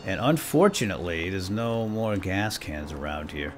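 A man's voice: low-pitched, animated, normal speed, music in the background. A man giving casual let's-play commentary, playfully talking to himself with mild folksy exclamations. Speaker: gaming YouTuber, let's-play host.

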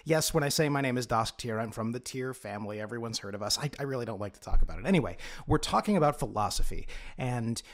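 A man's voice: medium pitch, animated, fast talker, lightly acted. A man playing a boastful, fussy aristocratic student in a tabletop game, telling his own story with pompous digressions. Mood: smug, casual. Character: boastful, fussy aristocratic male philosophy student, self-important. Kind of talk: in-character first-person tabletop storytelling.

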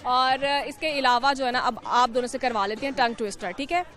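A young woman hosting a TV street quiz in a zoo park, talking into a handheld mic with a lively, coaxing manner. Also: high-pitched, fairly quick. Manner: lively, laughs often, coaxing. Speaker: TV street-interview host. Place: outdoors in a city zoo park. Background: light music and crowd chatter.

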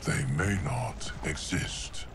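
An actor playing a calm, loyal, grave immortal guardian of time in a video game, speaking in a formal, measured way. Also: male voice, a bass voice, noisy background, exaggerated voice. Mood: steady, sincere. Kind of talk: video game cutscene acting.

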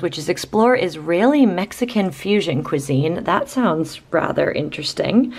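A woman in her thirties, a travel vlogger giving a chatty, upbeat tour of a small hotel room, filming with a handheld camera. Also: mid-range voice. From Boston, USA. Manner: chatty, upbeat, thinking aloud with fillers. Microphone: handheld camera at arm's length.

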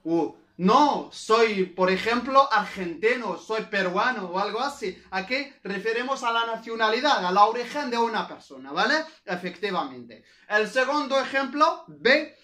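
A man's voice: high voice, lively intonation, unhurried, few pauses, sharp tone, roomy sound. A man from Morocco with a Moroccan accent, a native Arabic speaker, teaching a grammar lesson in a repetitive, didactic way with frequent checking tags. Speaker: Spanish language teacher. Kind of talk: scripted-free grammar lesson with examples.

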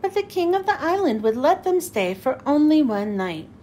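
A woman reading a children's storybook aloud in an expressive, animated, emphatic way. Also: high-pitched, moderate pace, a little hiss or hum. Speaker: children's book reader.

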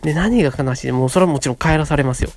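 A man of about thirty, telling stories and pitching ideas as a radio co-host in a polite, conversational way. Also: rapid-fire. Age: around 30. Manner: polite, conversational, acts out short quotes. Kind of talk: casual monologue, storytelling and pitching ideas. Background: background music.

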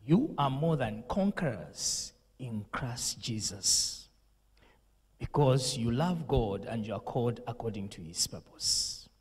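A man's voice: medium pitch, animated, darkish tone, breathy edge, in a large hall. A young man, a pastor, giving a solemn condolence message and prayer into a handheld mic in a studio. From Zambia. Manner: slow, solemn delivery with preacher's emphasis. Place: indoor studio set with purple lighting.

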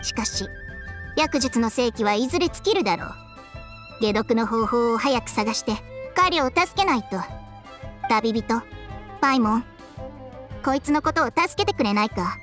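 A woman voicing a proud, bossy, sharp-tongued talking snake in a Japanese video game. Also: a little high, animated, moderate pace, long pauses, soft background music. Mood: earnest, persuading. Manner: bossy, blunt, scolding.

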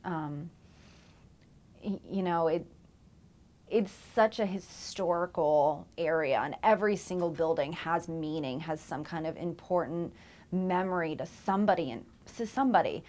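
A woman's voice: lowish voice, a little slow, darkish tone. A woman in her twenties answering interview questions in an office, earnest with some fillers. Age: 20s. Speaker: company employee.

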